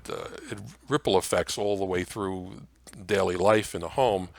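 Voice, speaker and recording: A man with a low voice gives an informal history lecture. He speaks haltingly, pausing to search for words, and the sound is dry and close. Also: a bit bright, no room sound. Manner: halting, frequent uhs. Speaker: presenter. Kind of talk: informal slide lecture on old-time radio history.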